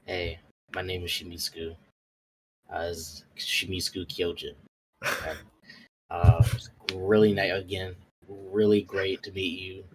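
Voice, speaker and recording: A man playing a polite, friendly mercenary in a tabletop roleplay, speaking in a courteous, halting way over background noise. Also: deep voice, completely flat delivery.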